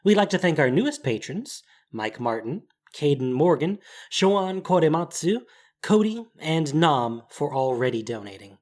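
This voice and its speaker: A man narrating a fantasy story as scripted audio drama, in a dramatic, deliberate storytelling manner. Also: a little high, very animated, a little mellow. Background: music.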